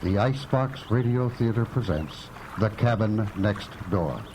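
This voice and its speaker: A man's voice: fairly low pitch, animated, a little slow, background noise. An actor playing a suspenseful storyteller, a man narrating a scripted radio mystery. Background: music.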